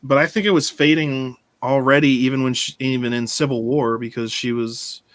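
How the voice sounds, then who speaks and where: A man hosts a relaxed online fan chat, rambling and loose with plenty of fillers. His voice sits at an ordinary middle pitch, recorded dry with little room sound. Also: normal speed, no room sound. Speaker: podcast host. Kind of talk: casual fan discussion.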